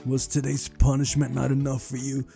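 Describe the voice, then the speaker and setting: A man's voice: mid-range voice, completely flat delivery, normal speed, creaky, warm tone, voice pushed up, natural voice. A man playing an exasperated young visual novel hero, reproachful and full of indignant questions, over music.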